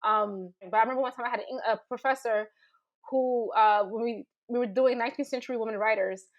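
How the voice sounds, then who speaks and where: A woman's voice: fairly high pitch, dull audio. A woman explaining ideas informally on a panel, chatty and full of restarts and "like". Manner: animated, halting restarts, many "like"s. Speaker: panelist, storyteller.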